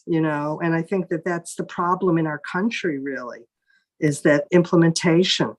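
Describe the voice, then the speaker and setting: Low-voiced thoughtful woman. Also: normal speed. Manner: thoughtful, hesitant, many restarts. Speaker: therapist, panel participant. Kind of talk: casual panel discussion.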